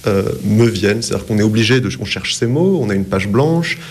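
Hesitant low-voiced Parisian man. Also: expressive, brisk, slight background noise. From France, Paris. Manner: hesitant, searching for words with many restarts. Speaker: author being interviewed. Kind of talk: reflective interview answers.

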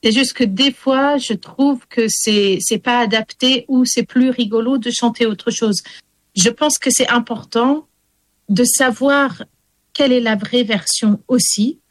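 A woman raised in Wales, whose first language is English, talks about her storytelling work in careful, halting French. She fills gaps with little sounds and restarts her sentences often. Her voice is recorded close and dry. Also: fairly high pitch, no echo, poor audio. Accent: Welsh. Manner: hesitant, many fillers and restarts. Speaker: storyteller guest. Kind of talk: radio interview.

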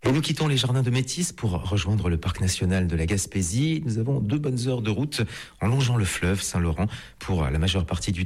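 A man hosting a travel radio program, narrating the route and interviewing a guest in a lively, playful way. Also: fairly low pitch. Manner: lively, playful, guiding the conversation. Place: outdoors in a national park.

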